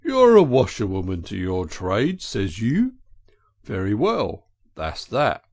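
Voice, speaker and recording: A burly, good-natured engine driver , acted by a male narrator in a storybook. He is a working man, blunt and folksy, with a gravelly voice and a lively rise and fall. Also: lowish voice, slow, no echo, clean studio sound, heavily acted. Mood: friendly bargaining. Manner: blunt, folksy, matter-of-fact. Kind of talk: audiobook character voice.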